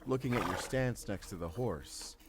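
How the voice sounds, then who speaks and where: A man's voice: on the low side, normal speed, loud music behind the voice, close to an everyday voice. A man acting a cranky, menacing older brother, a villain in a fantasy audio roleplay. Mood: suspicious, stern. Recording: clearly noisy background.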